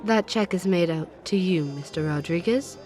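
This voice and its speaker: A woman's voice: on the low side, normal speed, a little mellow, some chatter behind, lightly acted. An actress playing a poised, commanding, very wealthy woman in an audio drama, formal and self-assured. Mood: cool, assured.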